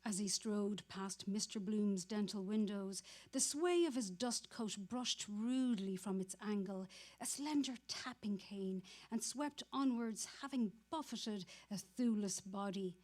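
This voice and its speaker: Female narrator, mid-pitched and unhurried, scripted dramatic literary reading. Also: darkish tone.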